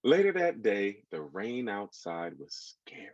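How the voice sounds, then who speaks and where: Husky, animated American male storyteller. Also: fairly low pitch, measured pace, dry recording, muffled recording. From United States. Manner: expressive, engaging listeners with asides. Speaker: children's author reading his book aloud. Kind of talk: animated storytelling to children, with questions.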